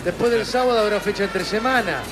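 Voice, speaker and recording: A man hosting a radio football broadcast, lively and bantering as he hands off to colleagues. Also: sits high, quick pace, loud music behind the voice. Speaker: radio play-by-play announcer and show host.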